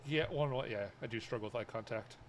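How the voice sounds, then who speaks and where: A man's voice: medium pitch, fast, slight background noise. A man chatting casually with friends in short, easygoing remarks. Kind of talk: casual group chat.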